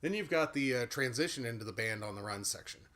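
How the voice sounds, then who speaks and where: A man's voice: medium pitch, lively intonation, fast talker, heavy background noise. A man giving a casual guitar and singing lesson with a big voice, explaining at an easy pace with frequent "uh" fillers. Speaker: guitar and voice teacher. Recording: guitar playing loudly behind the voice.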